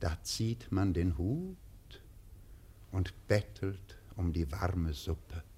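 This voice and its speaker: An actor playing an earnest, courteous poet in a German radio play, speaking in a polite, measured, lyrical way. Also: male voice, low-pitched, mellow, breathy edge, exaggerated voice. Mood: earnest, reminiscing. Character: earnest, courteous male poet with a vagabond past.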